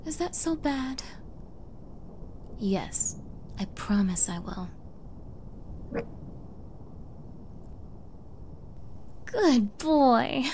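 Woman doing a possessive yandere girlfriend, high cooing voice, slow n teasing, asmr roleplay with some background noise. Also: animated, a little mellow, heavily acted. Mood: pleading, sweet. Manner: coy, cooing, teasing, often breathy whispers. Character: sweetly menacing, possessive young yandere girlfriend. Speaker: roleplay audio performer. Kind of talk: ASMR roleplay acting, soft intimate girlfriend character.

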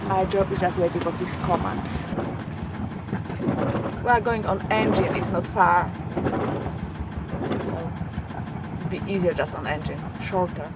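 Woman, 40s, relaxed slow chat outdoors on a boat, heavy wind noise. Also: fairly low pitch. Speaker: vlogger. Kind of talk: casual on-camera chat. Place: sailboat deck outdoors. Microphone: action camera. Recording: loud wind and engine noise.

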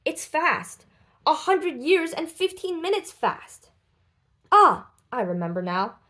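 A woman reading a children's book aloud expressively, with deliberate, dramatic phrasing and lifted voices for dialogue. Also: high-pitched, big pitch swings, fairly bright tone. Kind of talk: expressive storybook read-aloud.

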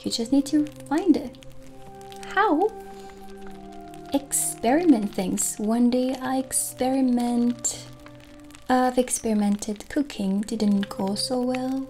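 A woman in an ASMR roleplay playing a gentle, friendly witch who keeps a potion shop, speaking softly and soothingly without hurry. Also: fairly high pitch. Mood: reflective. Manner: soft, unhurried, soothing. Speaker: ASMR performer. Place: small dim candlelit room, indoors. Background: soft music.

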